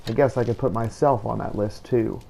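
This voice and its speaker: Male game-series narrator, relaxed and gravelly, scripted roleplay delivery over noisy game ambience. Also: medium pitch, a little fast. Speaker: host of a game playthrough series.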